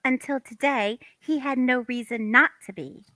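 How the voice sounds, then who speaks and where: A woman's voice: high-pitched, normal speed, bright, forceful tone, dry recording. A woman reading the part of a guarded, coy housewife in a stage comedy table read. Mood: cool, defiant.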